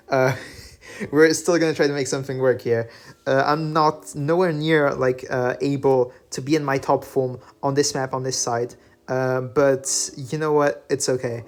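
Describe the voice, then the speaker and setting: A man whose first language is French, talking through his game replays in a relaxed voice-over full of fillers and self-corrections. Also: medium pitch. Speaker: gaming channel host. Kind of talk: gameplay commentary voice-over. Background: faint background music.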